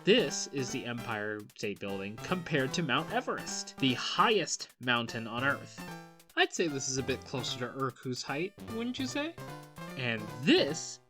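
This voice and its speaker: A woman's voice: very deep voice, measured pace. A woman chatting casually and jokingly as she hosts a video game let's play. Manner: conversational, animated, chatty. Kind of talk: casual jokey game commentary with acted asides. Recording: game music behind the voice. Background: video game music.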